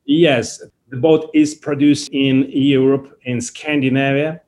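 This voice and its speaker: Male interview guest, Russian accent, halting non-native English, slow delivery, mid-pitched. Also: little pitch movement, a little mellow. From Saint Petersburg, Russia. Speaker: interview guest, company founder.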